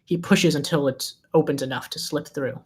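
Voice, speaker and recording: Screenplay narrator with medium pitch. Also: normal speed, breathy edge. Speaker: stage-directions narrator. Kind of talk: scripted screenplay narration at table read.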